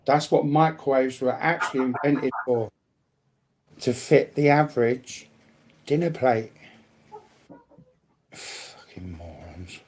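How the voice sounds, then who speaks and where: A British speaker in casual livestream banter, blunt, combative and swearing heavily, with a gravelly voice. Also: medium pitch, darkish tone, a little hiss or hum, low-quality recording.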